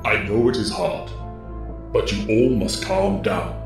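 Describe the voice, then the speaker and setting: A man's voice: lowish voice, expressive, gravelly. Man acting a solemn, echoing mentor. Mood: urgent. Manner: formal, measured, solemn. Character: calm, grave male mentor commanding superhero rangers. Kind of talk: audio drama acting. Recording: echoing voice over music.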